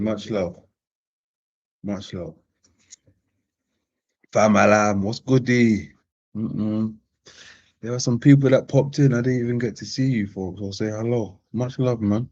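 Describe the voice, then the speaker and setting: A man hosting a live-streamed panel chat. He talks casually, repeating phrases and giving slangy shout-outs to viewers. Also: lowish voice, steady tone, measured pace, long pauses. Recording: dull, lo-fi stream audio.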